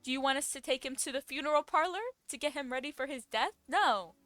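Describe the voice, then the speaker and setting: A young woman streams a game with casual, reactive commentary. Her voice is rather high and very bright, and game music plays softly behind. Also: quick pace, no echo. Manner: informal, reactive.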